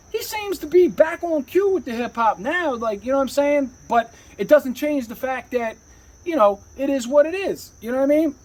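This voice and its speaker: A man with a husky voice telling stories casually as a rapper being interviewed, rambling and slangy, often saying "you know.". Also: very high voice, a little mellow, low-quality recording.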